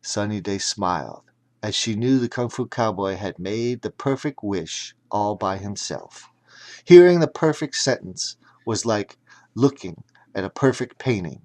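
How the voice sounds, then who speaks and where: A man narrating a children's audiobook dramatically, in deliberate, emphatic, broken phrases. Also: fairly low pitch, expressive, darkish tone.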